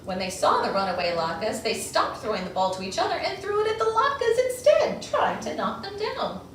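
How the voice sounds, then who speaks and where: Female children's storyteller, dramatic read-aloud, high lively voice, heard from a distance in a roomy space. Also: normal speed. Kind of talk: expressive picture-book read-aloud. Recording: echoey room, distant mic, faint noise.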